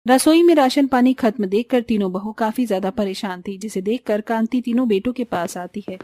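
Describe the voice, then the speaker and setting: A woman's voice: on the high side, brisk, dark tone, no echo. A woman narrating a scripted cartoon family story.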